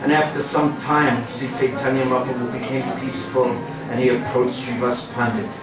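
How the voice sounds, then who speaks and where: A man giving a dramatic devotional scripture reading, reverent and deliberate with long pauses. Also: mid-range voice, flat delivery. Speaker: devotional reader and chant leader. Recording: echoey, distant, music behind.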